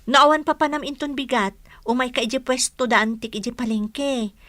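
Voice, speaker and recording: Bright, high-pitched girlish young market vendor. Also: a little fast, no echo, studio quality. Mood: teasing, casual. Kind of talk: Ilocano radio drama acting.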